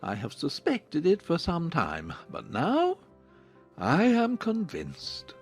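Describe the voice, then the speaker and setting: Man acting a drawling, sardonic gentleman father. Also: on the high side, wildly swooping pitch, faint music behind, heavily acted. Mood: cool, mocking. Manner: coolly deliberate, drawling irony. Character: dry, sardonic middle-aged gentleman father. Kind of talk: dramatized audiobook acting.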